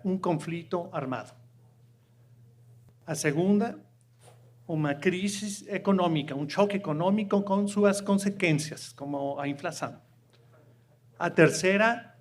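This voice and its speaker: A Mexican man whose first language is Spanish, speaking at a panel in halting, accented Portuguese with many fillers, partly reading a prepared statement. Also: sits high. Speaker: panel speaker from an international food agency.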